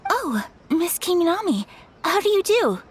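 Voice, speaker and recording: A woman voicing a polite, reserved young noblewoman who speaks softly and formally, in game dialogue with music behind. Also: very high voice, big pitch swings, normal speed, a little hiss or hum. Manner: soft-spoken, formal and courteous.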